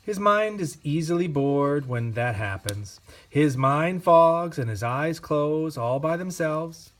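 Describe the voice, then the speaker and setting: A man reading a children's picture book aloud with gentle, expressive storytelling. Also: on the high side, slow. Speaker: reader.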